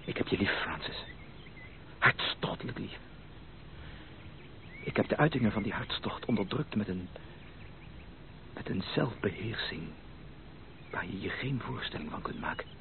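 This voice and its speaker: An actor playing an ardent, determined gentleman suitor, emphatic and romantic, in a Dutch radio play. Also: on the low side, expressive, a little slow, pauses often. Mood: fervent, intimate. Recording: narrow, noisy old radio play recording.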